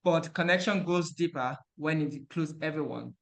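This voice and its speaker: A man's voice: a little high, moderate pace, gravelly. A man giving an earnest, formal anniversary speech over a video call.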